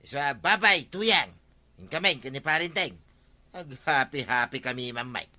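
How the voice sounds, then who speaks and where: Cocky ilocano husband dodging work, radio comedy, really bright punchy voice. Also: male voice, mid-range voice, lively intonation, normal speed. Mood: evasive, boastful. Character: cocky, evasive village husband who dodges farm work.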